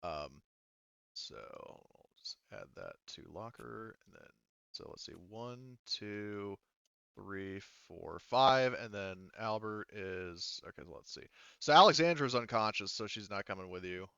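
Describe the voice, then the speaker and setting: Smooth low-voiced man running a tabletop game. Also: animated, slow, fairly bright tone, no room sound, lo-fi sound. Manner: improvising, thinking aloud, casual. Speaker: game master.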